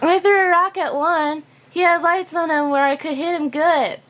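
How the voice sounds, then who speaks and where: Woman as prim, innocent little girl for superhero radio play, high-pitched, earnest. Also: fairly even intonation, moderate pace, fairly bright tone. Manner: naive, earnest.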